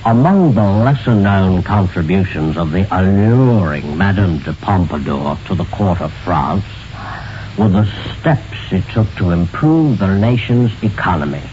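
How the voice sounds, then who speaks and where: A man giving a formal, measured scripted narration as a radio presenter. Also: deep voice, a little mellow. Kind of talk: scripted narration of a historical anecdote. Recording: dull, noisy vintage radio recording.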